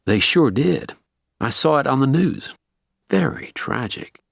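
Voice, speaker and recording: Guy doing a southern us heavy, courtly and steely, higher than his own voice, kinda gravelly. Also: lively intonation, a little slow, no echo, studio quality. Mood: probing. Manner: courtly charm with a steely edge. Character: polite, menacing male Southern American heavy.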